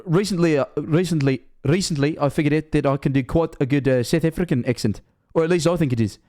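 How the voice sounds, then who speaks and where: A man's voice: on the high side, fast, warm tone. A Scottish man of 21 streaming casually, rambling and self-mocking, swearing often.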